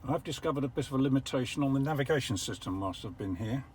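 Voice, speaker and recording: An older English man explaining how an electric car works from the driver's seat, measured and a little wry. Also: medium pitch, expressive, darkish tone, slight background noise. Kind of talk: casual vlog commentary. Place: parked car.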